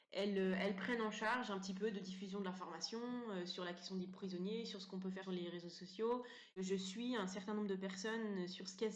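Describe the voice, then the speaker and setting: A woman in her thirties from France explains things informally as an interview guest, halting often and repeating words. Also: mid-range voice, a little mellow. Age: 30s. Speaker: interview guest, sociology researcher. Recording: roomy echo, background noise.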